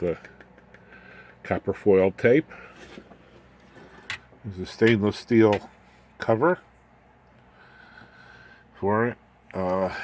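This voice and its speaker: Older male hobbyist, low-pitched and unhurried, folksy delivery with dry asides, informal bench walkthrough. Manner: folksy, halting, wry asides. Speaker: electronics hobbyist presenter. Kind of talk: casual unscripted build walkthrough.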